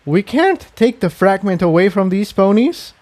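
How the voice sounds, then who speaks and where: A man's voice: sits high, big pitch swings, normal speed, a little mellow, voice pushed up. A man voicing an earnest, battle-wise female pony in an adventure story reading. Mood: firm, concerned.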